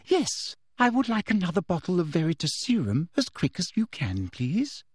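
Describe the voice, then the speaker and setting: A man voicing a falsely sweet, menacing female headmistress who turns shrill and girlish when angry, in a dry close recording. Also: huge pitch swings, normal speed, slightly rough, no echo, exaggerated voice.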